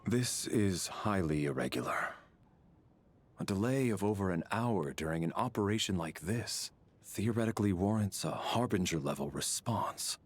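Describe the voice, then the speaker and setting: An actor playing a formal, stern military major in a video game, speaking in a measured, official manner. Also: male voice, low voice, expressive, a little hiss or hum. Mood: grave, suspicious. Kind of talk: video game character dialogue.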